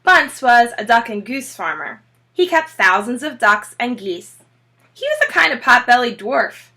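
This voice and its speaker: A woman with a high, bright voice reads a children's storybook aloud like a schoolteacher. She is expressive and emphatic, pausing for short asides to her listeners. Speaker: teacher reading a children's book aloud.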